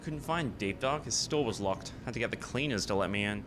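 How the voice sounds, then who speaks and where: An actor playing a cheerful, boastful lab sidekick in a comic radio play, chirpy and playful. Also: male voice, on the low side, lively intonation, fairly quick, heavy background noise, close to an everyday voice. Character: cheerful, boastful male lab sidekick who likes a gamble.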